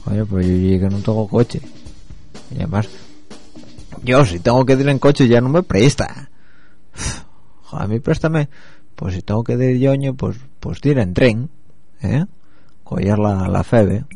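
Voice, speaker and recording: A man with an Asturian accent hosts a radio show in a loose, rambling monologue, often turning to ask his listeners questions. His voice sits low and sounds mellow and relaxed. Faint music plays in the background. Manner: chatty and rambling, addresses listeners directly, many fillers. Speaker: radio host.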